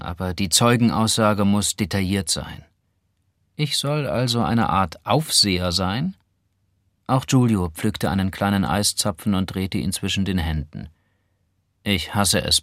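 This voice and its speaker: A man's voice: sits low, a bit bright, dry recording, clean studio sound. A man narrating a literary audiobook, including its dialogue.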